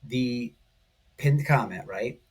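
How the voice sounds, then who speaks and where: Excited, slightly husky man vlogging. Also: mid-range voice, slow pace, rough recording. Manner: excitable, emphatic, repetitive, addressing viewers directly. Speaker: online commentary channel host. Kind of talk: casual, informal video commentary.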